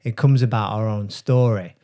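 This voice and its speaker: A man who works as a brand strategist, talking casually to camera and explaining ideas in a reflective, unhurried way with frequent pauses. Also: on the low side, fairly even intonation, mellow.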